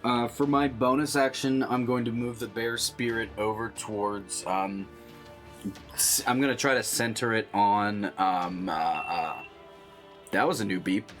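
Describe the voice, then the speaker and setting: A man's voice: fairly low pitch, steady tone, a little slow, fairly bright tone. Man thinking aloud at a game, faint music. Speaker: tabletop game player. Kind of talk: casual tabletop roleplay chat.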